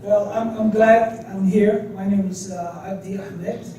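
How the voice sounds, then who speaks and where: Man from Somalia, summit speaker; earnest, halting delivery; high, dark voice, roomy and distant. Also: steady tone. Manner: earnest, with many fillers and restarts. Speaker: restaurant owner speaking at a trade summit. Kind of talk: impromptu conference remarks. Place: large conference hall. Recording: echoey hall, distant mic, faint noise.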